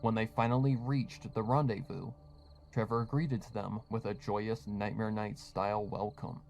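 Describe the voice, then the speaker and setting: A man's voice: fairly low pitch, fairly even intonation, unhurried, vocal fry, a little mellow, faint music behind. A man reading scripted horror fiction as a storytelling narrator.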